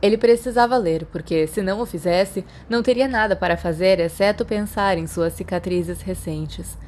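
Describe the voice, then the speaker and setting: A woman's voice: mid-range voice, normal speed, darkish tone, slight background noise. A woman with a Brazilian accent reading scripted fiction aloud as a narrator. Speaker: podfic narrator.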